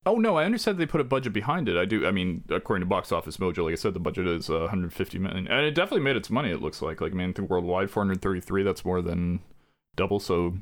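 A man's voice: low-pitched, rapid-fire. A man co-hosting a podcast, leading the casual chat and asking his co-host questions. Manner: leads the talk, asks questions, animated.

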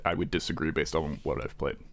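A man's voice: extremely low pitch, level tone, fast. A man co-hosting a podcast in casual chat, hesitant and self-deprecating, often restarting his sentences. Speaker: podcast co-host.

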